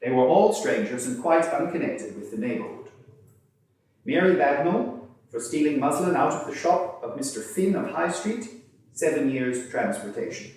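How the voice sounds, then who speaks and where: A man's voice: on the high side, expressive, normal speed, darkish tone, voice pushed up, natural voice. A man voicing a formal nineteenth-century newspaper court reporter, stiff and declamatory. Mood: formal, proclaiming. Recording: distant, very echoey room.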